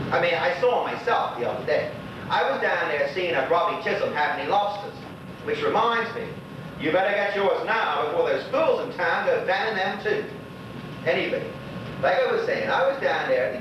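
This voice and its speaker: Guy acting as gossipy old bermudian widower, rambling stage monologue, lively up and down voice, sounds far from the mic. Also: high-pitched. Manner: rambling, folksy, conversational. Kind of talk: stage monologue, colloquial storytelling to an unseen neighbour. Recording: roomy, noisy, muffled distant stage recording.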